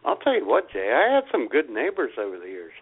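A man's voice: medium pitch, huge pitch swings, brisk, husky. A man co-hosting a comedy radio show who laughs often and tells stories with relish. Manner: laughs often, eager storyteller. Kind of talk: casual comedic radio banter. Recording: tinny phone-like line.

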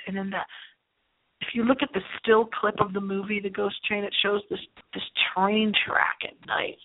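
A woman's voice: mid-range voice, flat delivery, normal speed. A middle-aged woman hosting a late-night horror movie radio show, rambling theatrically and repeating her phrases. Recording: thin, phone-like lo-fi sound.

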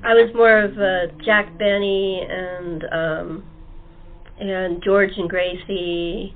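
A woman's voice: mid-range voice, fairly even intonation, slow pace. A woman hosting a podcast in a casual, chatty style over a thin phone-like line. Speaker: podcast host. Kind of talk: casual chat. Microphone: remote call.

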